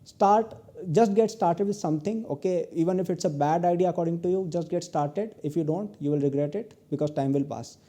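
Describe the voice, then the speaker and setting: Earnest young Indian man with a dark tone. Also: on the high side, fairly quick. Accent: Indian English. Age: 20s. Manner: earnest advice, tags many lines with "okay?". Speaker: guest lecturer, entrepreneur. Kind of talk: recorded online lecture.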